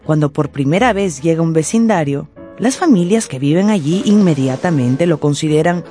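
A woman's voice: low voice, animated, moderate pace, mellow, faint music behind. A woman playing a genteel, wry small-town storyteller who narrates a radio drama.

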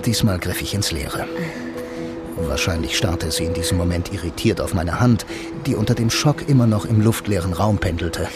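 Guy playing a low voiced lonely blind noir hero, dry and wry, radio play w music under it. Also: close to an everyday voice. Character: wry, lonely blind man, hard-boiled film noir dreamer. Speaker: narrator and lead actor. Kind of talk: first-person noir radio drama. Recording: music behind the voice.

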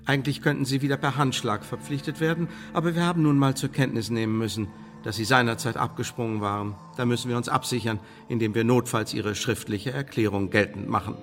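Male spymaster played by an actor for Elizabethan radio drama: formal, pompous, silkily threatening, mid-pitched, in a long reverb. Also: steady tone, fast talker, soft background music, natural voice. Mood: businesslike, persuasive. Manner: formal, pompous, ornate officialese with veiled threats. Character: smooth, menacing English secret service chief, Elizabethan era. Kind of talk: German radio drama acting.

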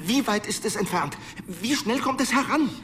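A man playing a fussy, excitable, self-important wizard in a radio drama. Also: very high voice, normal speed, a little hiss or hum. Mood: alarmed, urgent. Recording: long hall reverb, music behind.

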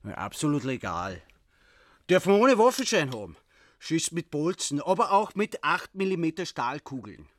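Proud Austrian doomsday prepper, higher-pitched. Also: male voice, sing-song, normal speed. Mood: proud, matter-of-fact. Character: proud, eccentric male doomsday prepper, self-reliant and suspicious. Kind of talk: radio play dialogue.

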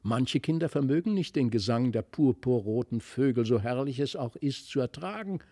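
Man, standard German accent, audiobook narrator, medium pitch, dry close sound, expressive storytelling. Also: no echo. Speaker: audiobook reader. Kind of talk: scripted literary fairy-tale narration.

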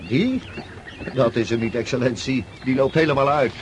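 A man's voice: mid-range voice, normal speed, slight background noise, lightly acted. An actor playing a respectful, chatty, folksy coachman serving a nobleman in a radio drama.

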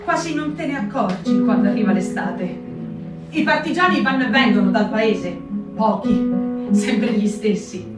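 A woman performing a poetic reading in a soft, intimate, hushed way. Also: medium pitch, lively intonation, measured pace. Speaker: actor in a staged reading. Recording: roomy radio studio, from a distance, with guitar music.

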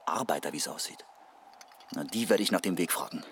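A man's voice: low voice, animated, fairly quick, a bit bright, slight background noise. An actor playing a hapless, grumbling man in a German radio drama, hesitant and dryly sarcastic. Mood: muttering, grumbling. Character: hapless, grumbling male client on an absurd quest.

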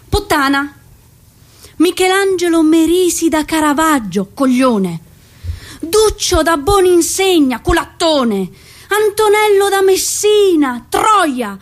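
Volatile, emphatic young woman, very high and widely swooping, unhurried, stage drama over music. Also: full character voice. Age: young adult. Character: brash, foul-mouthed young woman, overexcited and volatile. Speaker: theatre actress. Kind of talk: theatrical monologue. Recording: music under the voice.

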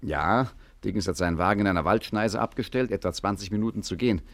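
An actor playing a cool, commanding gangster boss in a 1950s German radio crime satire. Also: male voice, lowish voice, fast, gravelly, fairly bright tone. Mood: feigned, official. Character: cool, cocky gentleman gangster boss, ordering his crew around.

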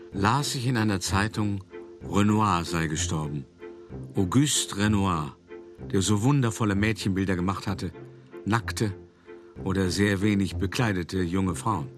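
A shrewd art dealer looks back on his life in a low voice. He tells it as a radio play monologue, wry and measured, as if in conversation, with music clearly behind him. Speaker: narrator. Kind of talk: radio drama monologue, first-person storytelling.

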